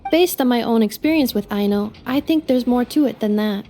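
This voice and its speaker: A woman voicing a gentle female robot companion in a video game, speaking in a measured, formal, slightly mechanical way. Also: on the high side, a little hiss or hum, close to an everyday voice. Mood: earnest.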